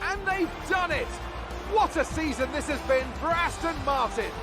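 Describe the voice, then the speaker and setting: An excited motorsport commentator calls the race at a very high pitch. His delivery is dramatic and urgent, with loud music and engines behind him. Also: normal speed. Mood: triumphant. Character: excited male Formula One race commentator. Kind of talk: live motorsport television commentary.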